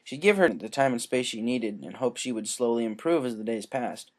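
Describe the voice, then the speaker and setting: A narrator reading scripted fan fiction aloud in an expressive storytelling style. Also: medium pitch, a little fast.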